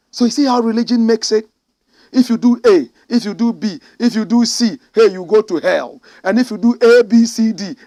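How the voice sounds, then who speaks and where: A high-voiced man from Ghana delivers fiery political commentary. He preaches and scolds, jumping from shouted outbursts to low, muttered remarks. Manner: preachy, emphatic, swings from shouting to low asides.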